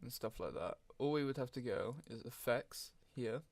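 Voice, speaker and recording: A man hosts a casual software walkthrough in a middle-pitched voice. He talks informally and a bit hesitantly, thinking aloud as he goes. The sound is close and dry, with almost no room on it. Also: expressive, normal speed, no room sound. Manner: frequent throat clearing. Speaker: tutorial host. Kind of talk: casual software tutorial narration.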